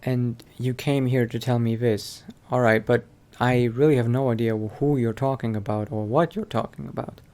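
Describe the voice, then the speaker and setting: A man's voice: mid-range voice, fairly even intonation, moderate pace, hardly any pauses, dark tone. A man doing casual, improvised roleplay commentary as he plays a video game, laid-back and hesitant. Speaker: gamer roleplaying as his character.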